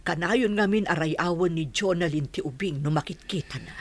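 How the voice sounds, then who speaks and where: A woman's voice: lowish voice, moderate pace, close to an everyday voice. A woman playing an anxious, doubtful wife in an audio drama, speaking in a questioning way. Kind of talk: Ilocano audio drama acting.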